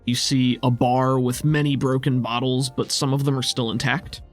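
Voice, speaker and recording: A man's voice: medium pitch, little pitch movement, normal speed, soft background music. A man narrating a tabletop roleplaying game as game master, conversational and descriptive.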